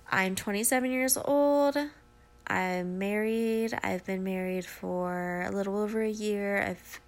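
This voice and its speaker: A woman's voice: mid-range voice, creaky. A woman in her twenties, nasal and stuffy from a cold, chatting casually and rambling apologetically on her solo podcast from home. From Ohio, USA. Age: 20s. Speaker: podcast host. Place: at home beside her dog.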